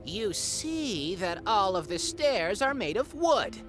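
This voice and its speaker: An actress playing a playful, teasing game master narrating a tabletop game in a comedy audio drama. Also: female voice, mid-range voice, very animated, takes it slowly, over music. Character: gleeful young woman game master, mischievous.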